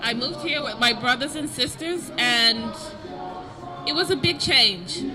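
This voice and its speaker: High young Zimbabwean-born speaker, loud music bed. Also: unhurried, rough recording. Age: young adult. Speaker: youth speaker. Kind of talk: personal story.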